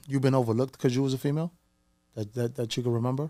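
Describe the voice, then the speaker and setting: A man's voice: mid-range voice, steady tone, fast, mellow, no echo, clean studio sound. A man with a New York accent hosting an interview podcast, often chiming in with supportive remarks. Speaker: podcast host. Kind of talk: casual interview chat.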